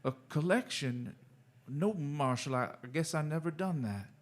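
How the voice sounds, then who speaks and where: A man playing a meek, good-natured simpleton drifter in a Western radio drama, halting and folksy. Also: fairly high pitch, expressive, lightly acted. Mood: meek, friendly.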